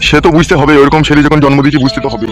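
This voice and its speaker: A man's voice: fairly high pitch, level tone, racing, over loud music, natural voice. A man playing a coarse, long-suffering old father in a cartoon comedy, scolding as he talks. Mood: bitter.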